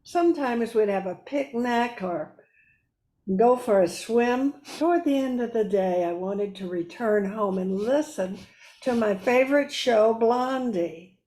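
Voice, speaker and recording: A woman's voice: fairly high pitch, measured pace, mellow, rough recording. An older woman reading a scripted personal reminiscence for a radio show. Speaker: radio show cast member.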